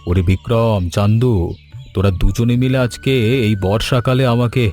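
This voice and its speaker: A man's voice: medium pitch, moderate pace, darkish tone, natural voice. A man voicing a kind, grateful old village uncle in a cartoon story, speaking in an earnest, sentimental way. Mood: moved, grateful. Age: older. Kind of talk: Bengali cartoon story voice acting. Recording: music behind the voice. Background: music.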